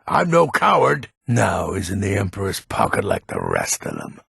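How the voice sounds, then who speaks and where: A man playing a grumpy, proud old warrior nobleman in a fantasy video game, blustering and haughty with a gravelly, raspy voice. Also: low voice, wildly swooping pitch, a bit bright, no echo, studio quality, exaggerated voice. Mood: indignant. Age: older. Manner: blustering, haughty, drawn-out emphatic delivery.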